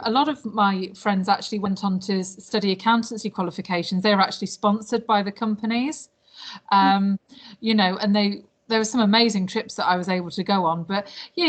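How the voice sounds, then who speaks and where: A British woman in her late thirties, a language teacher, answering interview questions in an informal, chatty, explanatory way with some hesitation. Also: mid-range voice. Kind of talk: informal interview answers about past jobs.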